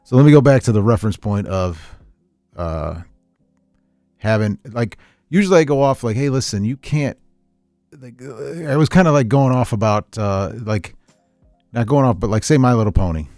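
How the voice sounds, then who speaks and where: A man's voice: mid-range voice, animated, normal speed, long pauses, a little mellow, no echo, clean studio sound, faint music behind. A man hosting an unscripted podcast, talking casually in a rambling, opinionated way. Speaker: podcast host.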